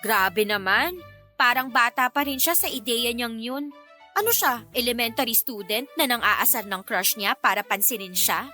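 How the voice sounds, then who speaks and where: A woman's voice: on the high side, lively intonation, normal speed, sharp tone. An actress playing a feisty, easily annoyed young woman trading sarcastic retorts in a radio-style romance drama. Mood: skeptical. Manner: sarcastic, indignant, teasing retorts. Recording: music behind the voice.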